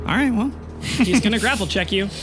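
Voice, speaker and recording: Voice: mid-range voice, brisk, over music. A game master narrating a tabletop game in a casual, conversational and sweary way.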